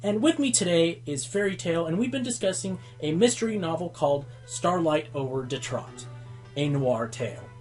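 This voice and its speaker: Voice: mid-range voice, darkish tone. An actor playing a showy, easily exasperated pony who hosts a radio show in a radio play.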